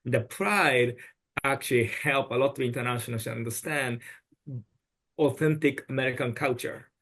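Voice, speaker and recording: Brief: male podcast guest, phrasing, sincere and advice-giving, at a middle pitch. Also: normal speed, fairly bright tone. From Japan. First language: Japanese. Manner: earnest, advice-giving, with non-native phrasing. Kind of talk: podcast conversation.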